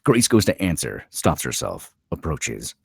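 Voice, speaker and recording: A male narrator reads scripted stage directions dramatically. His voice is deep and rough-edged, with lively rises and falls. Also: a little slow, dry recording, studio quality.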